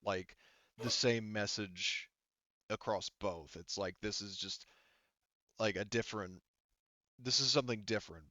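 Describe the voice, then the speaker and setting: A man narrates a tabletop game casually as game master. His voice is low and clean, halting and full of self-corrections. The sound is dry and a little dull. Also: bright, forceful tone, dry recording. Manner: halting, self-correcting, heavy filler use.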